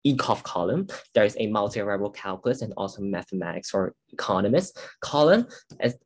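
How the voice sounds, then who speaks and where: A man's voice: fairly low pitch, animated, fairly quick, breathy edge. A young male university student from Hong Kong, giving a casual talk on a video call about his degree, with frequent restarts and fillers. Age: young adult. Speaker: student speaker. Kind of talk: admissions info session talk. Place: indoors at home, on a video call.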